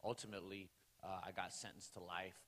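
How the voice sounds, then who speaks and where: A man in his forties delivers a testimony sermon in a church sanctuary, his fairly low voice ringing out with a long tail of reverb. He talks in a loose, conversational way, full of fillers, then rises into loud bursts of preaching. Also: little pitch movement, a little fast. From Los Angeles area, California. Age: 40s.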